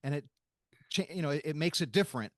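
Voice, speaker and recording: A man's voice: a little high, expressive, no room sound, studio quality. A man hosting a podcast, talking in a reflective, thoughtful way that halts and restarts as he searches for his words. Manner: thoughtful, halting, with many restarts. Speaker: podcast host. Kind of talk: reflective interview conversation.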